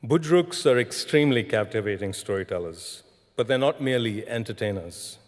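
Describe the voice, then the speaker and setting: A man giving a graduation speech in a measured storytelling manner, recorded in a large hall. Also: on the low side, animated. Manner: measured, storytelling delivery with deliberate repetition. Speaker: graduation ceremony speaker. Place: large concert hall, live ceremony. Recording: echoing large concert hall.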